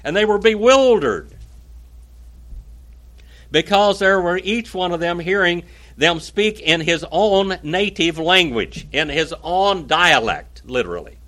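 A man's voice: high-pitched, animated, takes it slowly, a bit bright. Oklahoma-accented preacher quizzing his class. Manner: folksy, asks the class questions by name. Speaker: pastor. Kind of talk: interactive Bible teaching.

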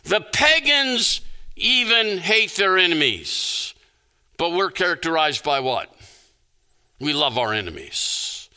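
A man preaching a sermon in an emphatic, deliberate manner, building on repeated phrases and rhetorical questions. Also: fairly high pitch, big pitch swings, bright, forceful tone, clear, resonant tone.

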